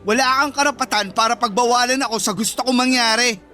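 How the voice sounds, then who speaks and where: A man's voice: very high-pitched, fairly even intonation, fast talker, loud music behind the voice. A man acting a fed-up but protective teenage boyfriend in a Filipino radio drama, emphatic and scolding. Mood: exasperated.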